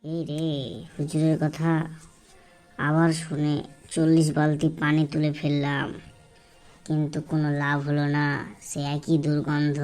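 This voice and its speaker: A woman's voice: low voice, a little mellow, smooth. A woman voicing a simple-minded, troubled village husband in an amateur cartoon, plaintive, puzzled and deferential. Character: simple-minded, troubled villager, a married man with a foul well.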